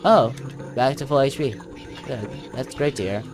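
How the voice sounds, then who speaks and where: A man's voice: medium pitch, moderate pace, music in the background. A man commentating casually on his own video game playthrough, thinking aloud as he plays.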